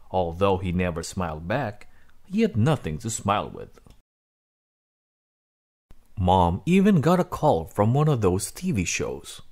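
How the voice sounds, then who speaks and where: A man reading a children's storybook aloud as narrator, in an expressive, dramatic way. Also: fairly low pitch. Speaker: read-aloud host.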